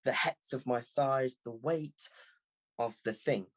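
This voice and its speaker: A man reading a first-person literary story aloud in an expressive, scripted narration. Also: medium pitch, slow pace. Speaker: audiobook reader.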